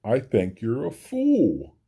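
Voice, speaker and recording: A man's voice: medium pitch, wildly swooping pitch, slow pace, creaky, mellow, breathy edge, heavily acted. A man voicing a sour, scornful female kangaroo from a storybook, dismissive and mocking.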